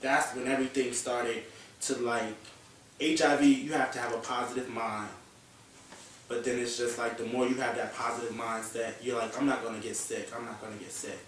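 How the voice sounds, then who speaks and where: A man's voice: mid-range voice, flat delivery, normal speed, slight background noise. A young man in his early twenties speaking candidly and emotionally on a panel chat show, now and then swearing. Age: young adult. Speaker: guest. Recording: echoey room, distant mic.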